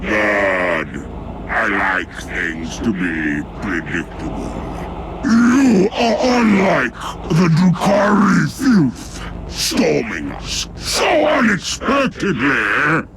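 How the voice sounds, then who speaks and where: A man voicing a menacing, gloating alien villain in a video game, with a gravelly, theatrical delivery. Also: mid-range voice, huge pitch swings, extremely slow, very noisy, exaggerated voice. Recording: loud music behind the voice.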